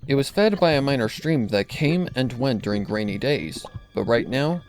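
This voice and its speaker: A man's voice: medium pitch, darkish tone. A man reading scripted story narration as the narrator of a piece of fan fiction.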